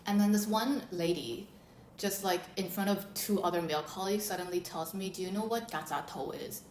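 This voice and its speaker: A woman with a deep voice, chatting casually and telling a story with lots of fillers as a co-host. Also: brisk, darkish tone, reverberant, a little hiss or hum. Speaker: co-host of a beauty channel talk. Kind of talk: casual chat.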